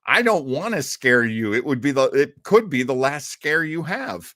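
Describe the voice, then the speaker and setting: A man's voice: medium pitch, very animated, moderate pace, sharp tone, dry recording, studio quality. A man hosting a livestream in a chummy, joking, rambling way as he chats casually with viewers. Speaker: livestream host. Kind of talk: casual live chat with viewers.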